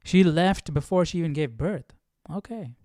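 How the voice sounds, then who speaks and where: A man's voice: a little high, lively intonation, normal speed, darkish tone, a little hiss or hum. A man hosting a Bible study stream, chatting casually and musing with questions as he thinks aloud. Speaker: livestream host of a Bible study.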